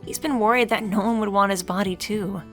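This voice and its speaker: A woman's voice: medium pitch, fairly even intonation, fairly quick, faint music behind, voice pushed up, lightly acted. A woman voicing a friendly, curious and forthright young woman with an upbeat, chatty delivery in a story. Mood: reassuring.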